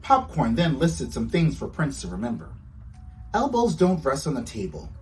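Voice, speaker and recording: Male storyteller, very animated pitch, children's book narration, slightly distant mic, music behind. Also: moderate pace. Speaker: author reading own book aloud.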